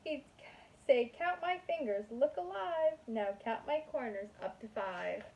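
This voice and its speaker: A woman's voice: high voice, animated, unhurried, gravelly, a little mellow, slight background noise, low-quality recording. A woman kindergarten teacher reading a picture book aloud to young children in a sing-song manner.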